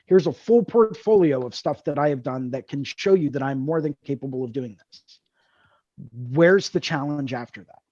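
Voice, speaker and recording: A man's voice: medium pitch, moderate pace, a little mellow, no room sound, muffled recording. A man hosting a tattoo drawing group over a video call, explaining ideas earnestly and repeating key words. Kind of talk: casual mentoring chat on a video call.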